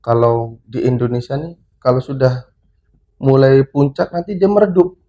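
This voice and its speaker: Mellow-voiced chatty podcast host. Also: male voice, mid-range voice, animated, moderate pace, muffled recording. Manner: chatty, joking, asks many short questions. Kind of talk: casual podcast interview.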